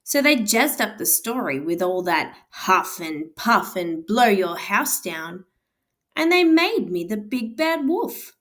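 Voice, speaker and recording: A woman's voice: mid-range voice, sing-song, a bit bright. A woman reading as the wronged wolf. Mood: aggrieved. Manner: storybook read-aloud, slow drawn-out words. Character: male wolf, self-pitying, claims innocence. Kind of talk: storybook narration. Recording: guitar music behind the voice.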